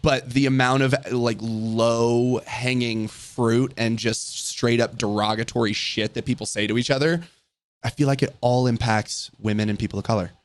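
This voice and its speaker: A woman's voice: extremely low pitch, fairly even intonation, moderate pace, no room sound. A woman with a soothing voice hosting a podcast in casual, agreeable conversation, with informal slang and some swearing. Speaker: podcast host. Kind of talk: casual chat.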